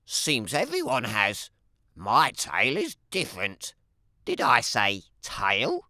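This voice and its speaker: A woman voicing a sly, hungry, wry male wolf who tells his own tale in an animated children's read-aloud, with dramatic emphasis and playful asides. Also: extremely low pitch, slow pace, no room sound, clean studio sound, full character voice.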